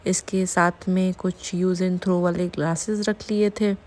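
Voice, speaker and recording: A woman's voice: fairly low pitch, little pitch movement, slow, darkish tone. A woman, narrating a family vlog in a casual, chatty style. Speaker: family vlogger. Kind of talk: casual vlog narration mixing Hindi and English.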